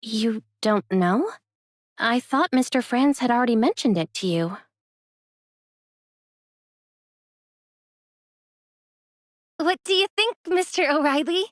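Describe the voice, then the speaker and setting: Earnest excitable young anime game character, high and lively, very expressive. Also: female voice, normal speed, dry recording, studio quality. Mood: puzzled.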